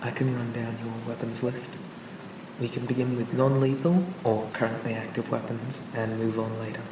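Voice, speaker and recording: A calm and pleasant male AI companion acted by a man for an ASMR roleplay. He speaks gently and reassuringly in a narrow, even pitch. The recording sounds distant and echoey, with clear ambient noise behind him. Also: lightly acted. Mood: pleasant, encouraging. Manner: gentle, hesitant, reassuring, conversational. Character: charming, pleasant male AI personal assistant for a police officer.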